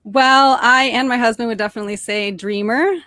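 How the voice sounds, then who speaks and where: Chatty woman, bright high voice. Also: steady tone, fairly quick, no room sound. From born in Norway, raised in suburban Detroit, Michigan. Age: young adult. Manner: chatty, cheerful, with frequent fillers and restarts. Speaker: podcast guest. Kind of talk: casual interview storytelling.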